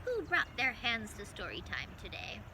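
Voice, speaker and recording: A woman hosting story time for young children, reading aloud in an animated, encouraging way with simple phrasing. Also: on the high side, normal speed, noisy background.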